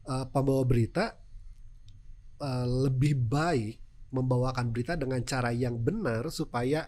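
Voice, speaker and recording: A man's voice: mid-range voice, unhurried, darkish tone. A man with a Sundanese accent, a native Sundanese speaker, explaining his work as a presenter in casual interview talk with acted-out examples. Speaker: television news presenter, podcast guest.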